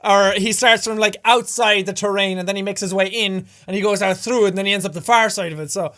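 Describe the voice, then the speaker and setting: An Irish man with a very high, bright voice, riffing through casual reaction commentary as a gaming creator. He bursts into loud exclamations and swears casually. His delivery is upbeat and punchy. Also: fairly even intonation, fast. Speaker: gaming YouTuber.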